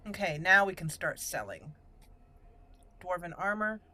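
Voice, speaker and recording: A woman's voice: fairly low pitch, sing-song, measured pace. A woman hosting her gaming channel, chatting casually and thinking aloud as she plays. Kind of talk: casual let's-play commentary. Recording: voice-over over loud game music. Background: game music.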